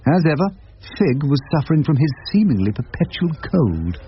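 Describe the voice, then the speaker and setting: An actor playing a wry, worldly older English barrister in a radio drama, with a droll, wordy, dry manner. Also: male voice, on the high side, very animated, normal speed, noisy background. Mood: wry narration. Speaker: narrator and lead character, a barrister. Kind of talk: radio drama narration and dialogue. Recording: dull, lo-fi radio drama recording.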